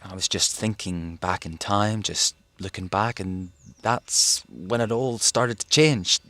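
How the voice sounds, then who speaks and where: An actor playing a haunted fugitive physicist in a wartime audio drama, musing hesitantly as if recording a diary. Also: male voice, on the low side, slow pace, bright, forceful tone, no room sound, heavily acted. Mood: wistful, searching. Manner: hesitant, musing, with sighs and broken phrases. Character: haunted, rambling fugitive physicist, man living through a war.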